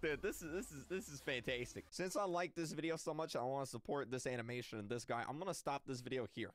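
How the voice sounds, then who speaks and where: A man in his twenties giving casual, jokey reaction commentary, excitable and slangy, repeating his phrases, close on the mic. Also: mid-range voice, quick pace. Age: 20s. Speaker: reaction video host. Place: indoor room studio with green screen. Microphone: close microphone on boom arm.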